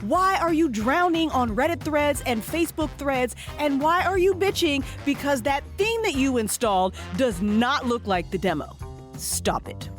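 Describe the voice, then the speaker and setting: Female ad voice: bossy comic scolding, very lively swoops, higher pitch, music bed. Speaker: web designer advertising own services. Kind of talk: conversational ad read.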